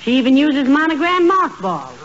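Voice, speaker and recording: A woman playing a patient, dryly witty wife in a 1940s radio sitcom, teasing calmly and gently correcting her husband. The sound is a dull, very noisy old radio broadcast. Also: sits high, moderate pace.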